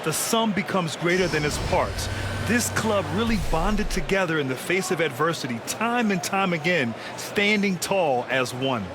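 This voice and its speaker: An actor playing an earnest basketball color commentator giving measured analysis in a video game broadcast. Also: male voice, high voice, expressive. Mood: earnest, admiring. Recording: noisy arena background.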